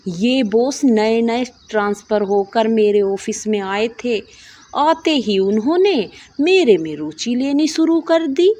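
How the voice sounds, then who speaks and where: A woman narrating a first-person bedtime story slowly, acting out the quoted dialogue. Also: fairly high pitch, darkish tone.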